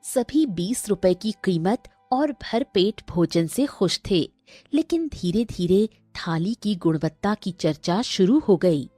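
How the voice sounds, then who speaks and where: A woman's voice: mid-range voice, lively intonation, slow pace, warm tone, no echo, faint music behind. A woman narrating a scripted cartoon story.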